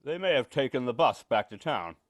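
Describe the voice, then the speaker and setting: A man's voice: mid-range voice, expressive, fairly quick, heavy background noise, natural voice. A man playing a penny-pinching, anxious property owner in old-time radio suspense style, curt, skeptical and fretful. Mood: uneasy.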